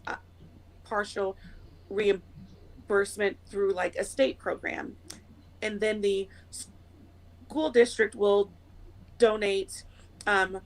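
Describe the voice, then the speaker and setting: A woman guest, a little hoarse from a cold, talking nervously and quickly with many fillers and restarts. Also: mid-range voice, rough recording. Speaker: interview guest. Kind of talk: conversational interview.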